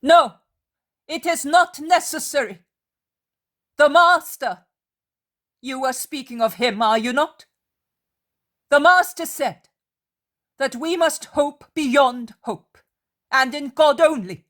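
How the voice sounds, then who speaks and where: A woman's voice: sits high, unhurried, voice pushed up. A woman voicing a fierce, devout woman of faith who commands in a thundering voice. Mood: fervent. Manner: thundering, impassioned shouting.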